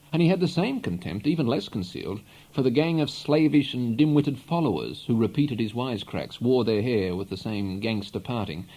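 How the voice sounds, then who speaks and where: A man reading a literary novel aloud in an expressive, measured storytelling manner. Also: medium pitch, rough recording. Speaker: audiobook reader. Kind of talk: literary narration, read aloud.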